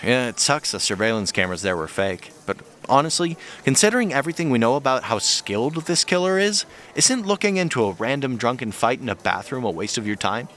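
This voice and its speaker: Animated, skeptical guy friend, played by a man. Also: mid-range voice, brisk, hardly any pauses, lightly acted. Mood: doubtful. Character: skeptical, candid male friend of the detective. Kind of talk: naturalistic audio drama acting.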